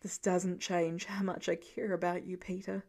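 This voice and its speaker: A woman voicing a weary, gentle middle-aged male archer with an earnest, reassuring, plain-spoken manner in an audiobook story. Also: lowish voice, level tone, moderate pace, voice pushed down. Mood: tender, firm.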